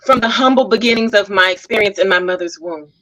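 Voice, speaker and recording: A woman from Texas talks as an interview guest in a mid-range voice. Her delivery is deliberate and theatrical, with a droll way of answering. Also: mid-range voice, pushed and bright, low-quality recording. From Texas, United States. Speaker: guest stage actress.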